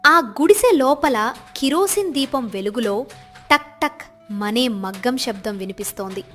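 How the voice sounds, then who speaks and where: A woman's voice: a little high, animated, measured pace, faint music behind. A woman narrator reading a scripted village moral story in a dramatic storytelling style.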